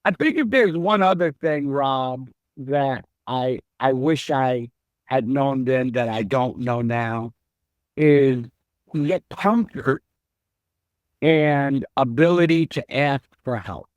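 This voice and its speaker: A middle-aged man, a chief executive, answering interview questions in a slow, measured and reflective way. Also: medium pitch, no echo, dull audio. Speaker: CEO guest.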